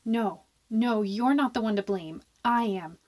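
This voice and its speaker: A woman performs a young roommate in a horror radio play, with a fairly high voice. She is defiant and wracked with guilt, delivering her words with theatrical emphasis. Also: unhurried, darkish tone. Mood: anguished guilt. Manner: theatrical, emphatic, repeats phrases. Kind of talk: radio drama horror acting.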